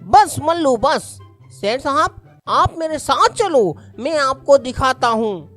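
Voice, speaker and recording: A woman's voice: high voice, big pitch swings, fairly bright tone, soft background music. A woman voicing a sly, cheeky, lazy male warehouse worker in a cartoon story, with a brash, scheming manner. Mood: accusing.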